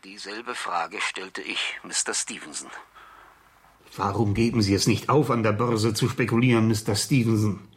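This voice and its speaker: A man's voice: fairly low pitch, normal speed, husky. An actor playing a weak, anxious old man who confesses to crime in formal, precise words in a radio crime drama. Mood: earnest. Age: older.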